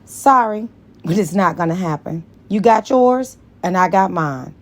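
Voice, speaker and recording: A woman's voice: fairly low pitch, animated, a little slow. A woman voicing a blunt, independent mistress who is smug and dismissive, in audiobook dialogue.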